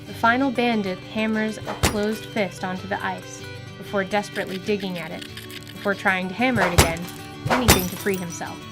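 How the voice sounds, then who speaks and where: A woman giving vivid, deliberate scripted narration for a fantasy radio drama. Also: mid-range voice, steady tone. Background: music.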